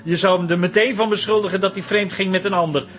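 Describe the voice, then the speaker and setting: A woman's voice: medium pitch, fast talker, natural voice. An actress with a Netherlands Dutch accent playing a frank, teasing female friend in a radio drama, direct in her way of talking. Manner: direct, animated.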